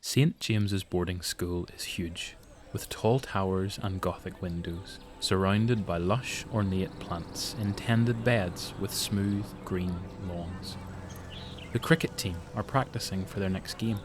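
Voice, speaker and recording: A man's voice: low-pitched, slow pace, soft background music. A man with a Northern Irish accent giving scripted, dramatic narration for an audio drama. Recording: noticeable background noise.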